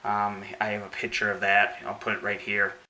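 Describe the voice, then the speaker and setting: A man's voice: on the low side, completely flat delivery, normal speed, sharp tone, rough recording. A man giving a casual hands-on product review, explaining each step methodically and checking in with the listener as he goes.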